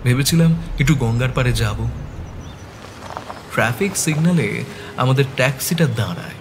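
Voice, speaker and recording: A man narrating a detective story as the sleuth's loyal, concerned companion, in a measured dramatic style. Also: mid-range voice, animated, noisy background, lightly acted. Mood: measured suspense. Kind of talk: scripted suspense story narration. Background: background music.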